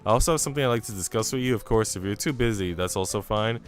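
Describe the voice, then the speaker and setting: A man's voice: on the low side, very fast, faint music behind, lightly acted. Man reading as a polite young mother. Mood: apologetic. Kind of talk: visual novel character read aloud.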